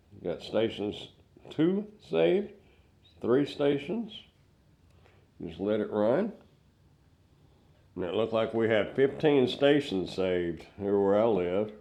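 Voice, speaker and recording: A man's voice: on the low side, a little mellow, lo-fi sound. An older man giving a casual hands-on product review, explaining things step by step in plain words.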